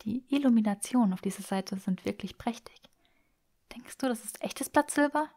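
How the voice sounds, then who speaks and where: A woman's voice: on the high side, normal speed, a little mellow, breathy edge, pitched above the performer's own voice. A woman voicing an eager, bookish young woman for an audiobook, breathless and emphatic as she gushes. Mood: fascinated, eager. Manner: breathless, gushing, emphatic delivery. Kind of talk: acted audiobook dialogue.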